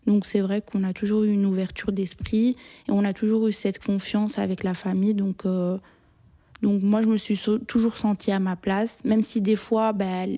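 Mellow young woman, even and hesitant. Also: mid-range voice. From Belgium. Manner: hesitant, searching for words. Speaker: interview guest. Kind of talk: casual, personal interview talk.

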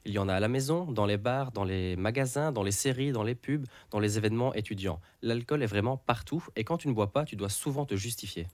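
Low-voiced male presenter, dry studio sound. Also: fairly quick, hardly any pauses, a little mellow, no echo. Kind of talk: scripted narration.

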